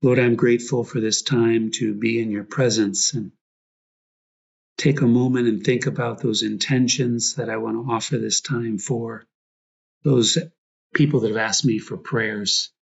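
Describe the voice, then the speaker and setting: A man guiding a prayer meditation as a priest, reflective and prayerful, speaking in short phrases with pauses. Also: medium pitch, steady tone, vocal fry, husky, highs cut off.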